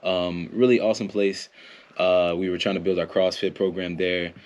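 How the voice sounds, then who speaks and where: A man's voice: low voice, flat delivery, moderate pace, darkish tone, dull audio. An American man in a casual podcast interview, a performance coach talking in a rambling way, with lots of fillers, restarts and laughs. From United States.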